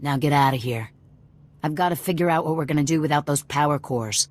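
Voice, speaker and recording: A very low-pitched female guerrilla commander for a video game. She is blunt and fanatical, giving orders in clipped phrases with an open threat underneath. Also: a little fast. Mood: impatient, threatening. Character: hard-bitten fanatical female rebel cell commander.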